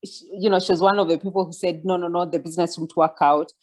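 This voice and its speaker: A woman's voice: lowish voice, fairly even intonation, fast, darkish tone. A woman in her forties giving a reflective mentoring talk over a video call, with many fillers and restarts. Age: 40s. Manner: reflective, many fillers and restarts, asks listeners to follow along. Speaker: guest speaker.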